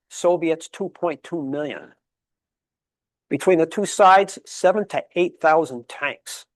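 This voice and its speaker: A man's voice: fairly high pitch, no echo. A man giving a history lecture at a public library, emphatic and fond of rhetorical questions, rattling off dates and figures. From Connecticut, USA. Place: library meeting room.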